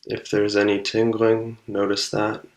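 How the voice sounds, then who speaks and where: A man leading a guided meditation, speaking calmly and unhurriedly with long pauses between short instructions. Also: lowish voice.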